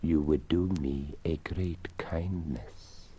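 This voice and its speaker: A man voicing a courteous, grateful skeleton in a folktale, with polite, unhurried speech. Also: extremely low pitch, fairly even intonation, dark tone, breathy edge, heavily acted. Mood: faint, polite. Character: courteous, grateful old male skeleton in the earth.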